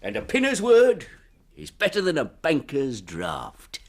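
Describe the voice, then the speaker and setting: A man's voice: a little high, huge pitch swings, unhurried, exaggerated voice. A man playing a persuasive, boastful financial agent in a radio drama, with florid salesman's patter.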